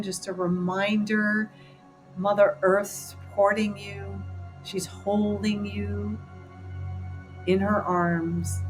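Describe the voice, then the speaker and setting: Female meditation guide, mid-pitched, encouraging and informal, speaking to a group with clear music underneath.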